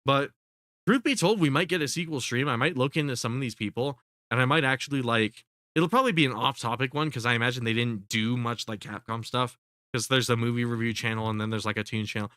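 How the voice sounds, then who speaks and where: A man's voice: medium pitch, fast, a bit bright, no room sound, studio quality. A man commentating casually on a live stream, sounding congested, rambling with lots of fillers and swearing. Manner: rambling, profane, full of fillers and restarts.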